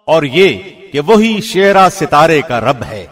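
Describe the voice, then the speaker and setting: A man reading a scripture translation from a script, in a formal, measured radio presenter's delivery. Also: fairly high pitch, very animated, a bit bright.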